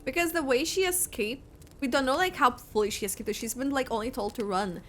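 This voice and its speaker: A woman giving casual, expressive live commentary on a video game, thinking aloud as she reacts. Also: sits high, a little fast, soft background music. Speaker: gamer reacting to story quest.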